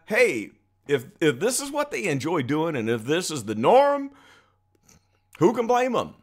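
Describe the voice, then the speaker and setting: A man's voice: fairly high pitch, fairly bright tone. A middle-aged American man giving casual, emphatic, exclamatory reaction commentary as a channel host.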